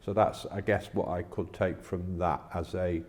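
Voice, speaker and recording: Man, deep voice, soft dark tone, plain-spoken, halting conversational delivery, hall reverb. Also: little pitch movement. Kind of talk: casual religious discussion.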